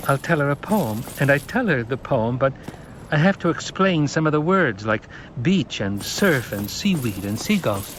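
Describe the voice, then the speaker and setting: A man's voice: medium pitch, animated, normal speed, fairly bright tone, a little hiss or hum, pitched above the performer's own voice, natural voice, low-quality recording. A man voicing an earnest, guarded teenage boy in an audiobook, lighter and dreamy in manner.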